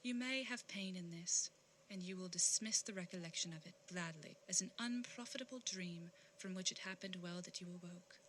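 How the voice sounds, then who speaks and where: A woman acting as a sorrowful, dignified young fiancée in an audio drama, earnest, measured and wounded. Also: lowish voice, a bit bright, a little hiss or hum, close to an everyday voice, rough recording. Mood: resigned sorrow.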